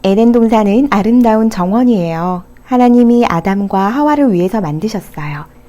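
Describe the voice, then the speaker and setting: A female storyteller with a soft-toned, mellow voice at a medium pitch. She reads children's Bible stories gently, explaining things as if to little ones. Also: animated, normal speed.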